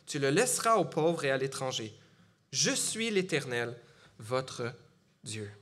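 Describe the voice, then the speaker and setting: A man's voice: mid-range voice, sing-song, unhurried, a bit bright. A middle-aged man from Gatineau with a Quebec French accent, preaching a sermon in a conversational way with frequent fillers and restarts. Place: church.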